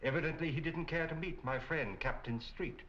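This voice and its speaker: An actor playing a calm, polite detective who speaks precisely and drily in 1940s film style. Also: male voice, a little high, normal speed, natural voice. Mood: wry, courteous. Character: calm, courteous, wryly probing Chinese male detective. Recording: dull old film soundtrack with music.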